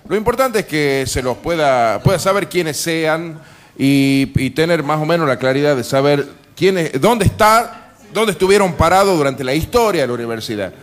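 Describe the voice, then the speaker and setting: A man's voice: a little high, animated, normal speed. An Argentine man hosting a radio show with humorous, casual banter, telling anecdotes in a wry, colloquial, sweary way. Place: live radio broadcast from a bar.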